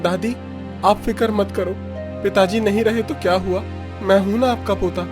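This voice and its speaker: A man's voice: sits high, steady tone, moderate pace, music in the background, close to an everyday voice. A man voicing a grieving fisherman's son. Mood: reassuring. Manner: emotional, calls out, pleading. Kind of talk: cartoon story voice acting.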